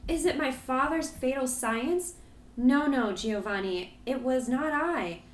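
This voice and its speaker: Man doing a sorrowful young woman, high and breathy-soft, tremulous, pleading, storybook reading, roomy sound. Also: slow pace. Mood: anguished. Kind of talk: audiobook reading.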